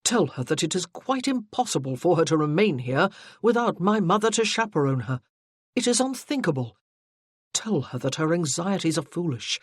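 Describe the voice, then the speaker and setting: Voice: medium pitch, big pitch swings, moderate pace, husky, dry recording, studio quality. An audiobook narrator voicing a weary, irritated young nobleman in a period novel. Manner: weary, peevish.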